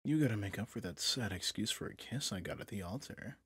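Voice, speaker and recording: A possessive villain prince played by a man murmurs to his bride in a low, very breathy and husky voice. He is smug and coaxing, tender with menace underneath. It is an intimate ASMR roleplay, recorded close and dry. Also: expressive, fairly bright tone, no echo, full character voice. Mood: possessive, seductive. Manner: slow, seductive, menacingly tender.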